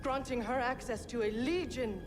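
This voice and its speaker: Woman playing a bitter, high-voiced fallen warrior villainess. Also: close to an everyday voice. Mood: manipulative. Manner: taunting, deliberate. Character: bitter, manipulative fallen female Jedi villain. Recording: loud music behind the voice.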